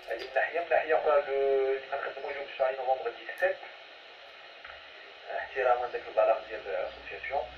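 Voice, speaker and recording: A man's voice: medium pitch, steady tone, rapid-fire. A man with a Moroccan accent hosting an amateur radio net informally, rambling and repeating words as he mixes two languages. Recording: noisy muffled radio, echoey, far off.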